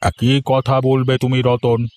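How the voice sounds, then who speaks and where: A man's voice: medium pitch, monotone, slow, darkish tone, no room sound, voice pushed down, natural voice. A man voicing a rich older village moneylender in a cartoon, impatient and menacing beneath false generosity, with music under the voice. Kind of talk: cartoon story voice acting.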